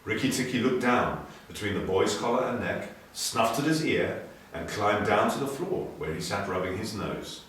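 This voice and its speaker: Animated male storyteller in an echoey room. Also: fairly low pitch, normal speed, a little hiss or hum. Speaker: storybook reader. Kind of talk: scripted children's story narration, expressive. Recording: very echoey room, distant mic.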